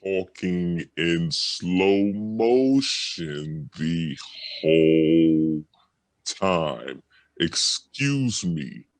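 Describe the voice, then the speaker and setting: A man playing a dazed guy tripping on mushrooms, talking in drawn-out slow motion. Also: low-pitched, lively intonation, dry recording, voice pushed down, heavily acted. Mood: dazed, sluggish.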